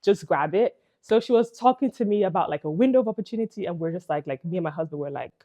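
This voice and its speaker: Chatty Nigerian woman vlogger, lowish voice. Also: animated, fast, dark tone, highs cut off. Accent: Nigerian English. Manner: chatty, frequent "like" and "you know", laughs between phrases. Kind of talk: casual personal storytelling to camera.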